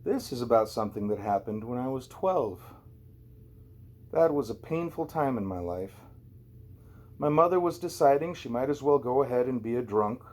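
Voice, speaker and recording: A man narrating a first-person novel as an amateur audiobook reader, in a conversational, wry storytelling way. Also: medium pitch.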